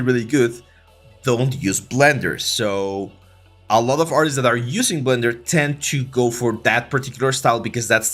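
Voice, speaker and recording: Mexican-accented man hosting a relaxed 3D art stream. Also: mid-range voice. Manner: relaxed, filler-heavy, many restarts. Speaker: 3D art instructor and livestream host. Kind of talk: casual livestream tutorial, reading chat.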